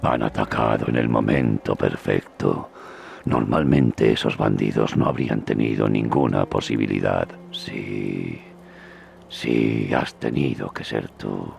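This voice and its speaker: An actor playing a bitter, accusing servant in a video game, with a gravelly, croaking, contemptuous delivery. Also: male voice, rumbling low, measured pace, darkish tone, slight background noise, heavily acted. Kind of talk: dubbed video game cutscene acting.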